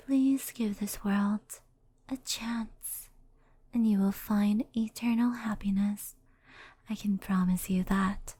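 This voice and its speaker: A woman voicing a gentle, devoted but anxious female fox spirit in a soft, soothing, often whispery ASMR roleplay. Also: mid-range voice, husky. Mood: pleading, hushed.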